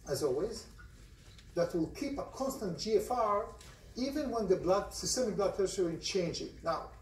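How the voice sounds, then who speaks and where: Israeli-accented lecturer in an echoey hall. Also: male voice, high voice, expressive, normal speed, slight background noise. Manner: conversational, poses questions to the class. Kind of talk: university lecture. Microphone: phone recording from the audience seats. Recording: distant, roomy lecture hall recording.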